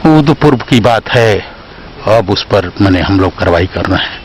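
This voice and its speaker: A man's voice: fairly low pitch, lively intonation, moderate pace, low-quality recording. A man giving an unscripted answer as an interviewed official. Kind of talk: spontaneous answer to a reporter.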